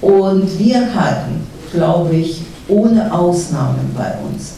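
A middle-aged woman from Russia speaking with a Russian accent, a guest author at a panel talk who pauses often with fillers and restarts. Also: fairly low pitch, animated, gravelly, dark tone. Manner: halting, many fillers and restarts. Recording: echoey hall from far off, faint noise.

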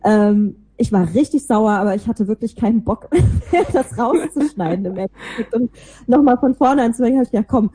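A woman's voice: a little high, brisk, dark tone, slightly rough. A woman being interviewed, chatting casually and in a self-deprecating way about her own life and work. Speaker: interview guest, podcaster and small creative agency owner.

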